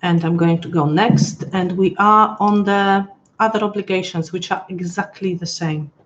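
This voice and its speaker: A woman's voice: lowish voice, fairly even intonation, normal speed, muffled recording, a little hiss or hum. A woman hosting a live webinar from home, chatty and hesitant with frequent restarts, her voice a little worn as she is losing it. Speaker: webinar host. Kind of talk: casual live-stream walkthrough. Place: home room, pet nearby.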